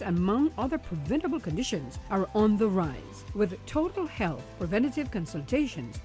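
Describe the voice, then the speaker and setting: Woman doing a radio ad, warm mellow tone, lively pitch, soft music under. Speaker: ad announcer. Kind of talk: scripted radio ad.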